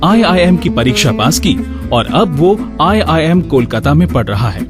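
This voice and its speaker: A male radio host with a fairly high voice and very animated intonation reads scripted narration. Music with guitar plays clearly behind him. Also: moderate pace. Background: background music with guitar.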